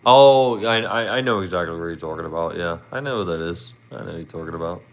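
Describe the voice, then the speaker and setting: A man in his thirties with a Philadelphia accent, hosting a casual livestream. His voice sits low and comes across smooth and resonant. He rambles in a friendly way, filling gaps with "you know". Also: fast. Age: 30s. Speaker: livestream host. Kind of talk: casual livestream chat with viewers.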